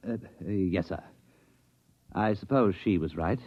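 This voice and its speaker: An actor playing an earnest, eager captain in a 1950s radio drama, speaking politely and persuasively. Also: male voice, sits low, measured pace. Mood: sheepish, sly. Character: earnest, eager British army captain with bold schemes. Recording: dull vintage 1950s radio recording.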